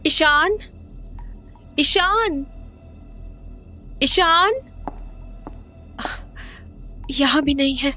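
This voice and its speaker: A woman acts as an anxious mother in a horror audio drama. Her high voice is urgent and fearful, pressing for answers, with soft music behind her. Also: lively intonation, very slow, exaggerated voice. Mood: worried, calling. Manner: urgent, agitated. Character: anxious, fearful mother haunted by lost brother.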